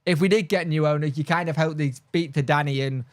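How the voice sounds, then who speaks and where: A man's voice: on the high side, fairly even intonation, fast talker, no room sound. Tired man chatting on a stream. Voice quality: mild speech impediment. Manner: rambling, blunt, frequent fillers and restarts. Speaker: football fan channel host. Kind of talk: casual live-stream chat reading viewer comments.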